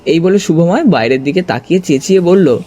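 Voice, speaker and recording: Man as high, mellow-voiced storytelling companion. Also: expressive, fairly quick, close to an everyday voice. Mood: curious, narrating. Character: detective's curious male companion, narrating the case. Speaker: narrator. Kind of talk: scripted first-person story narration. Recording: music under the voice.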